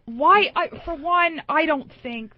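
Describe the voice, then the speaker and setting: A woman's voice: high-pitched, slow pace, dry recording. A woman, talking casually on radio in a hesitant, defensive way on a dull lo-fi recording. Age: 40s. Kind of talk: casual talk radio conversation.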